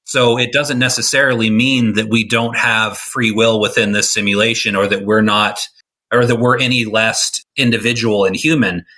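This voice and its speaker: A man's voice: lowish voice, fairly even intonation, normal speed, bright, forceful tone. A man in a casual podcast discussion, explaining his ideas at length with some restarts. He is losing his voice.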